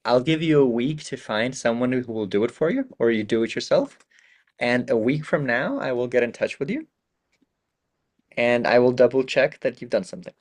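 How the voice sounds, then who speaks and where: Relaxed rambling man giving advice. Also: mid-range voice, normal speed. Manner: relaxed, rambling, swears casually. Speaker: podcast host. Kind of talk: casual advice chat.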